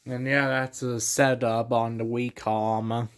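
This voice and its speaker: A man's voice: medium pitch, level tone, slow. A man giving casual hands-on vlog narration as a hobbyist, muttering short, offhand remarks while setting up a device.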